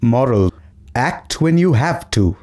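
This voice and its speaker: A man reads a children's fable slowly and deliberately. Very loud music runs beneath his voice. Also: mid-range voice, expressive. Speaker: narrator. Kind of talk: scripted children's story narration.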